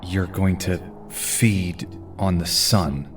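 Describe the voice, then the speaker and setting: A man's voice: sits low, extremely slow, distant mic, faint music behind. A man playing an earnest, commanding superhero team leader in an audio drama, serious and authoritative. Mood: concerned.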